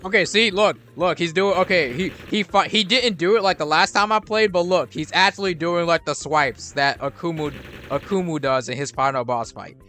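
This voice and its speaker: A man's voice: a little high, normal speed, hardly any pauses, sharp tone, slight background noise. A young man giving rambling, casual commentary while playing a video game, restarting his sentences and swearing freely. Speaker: gaming streamer.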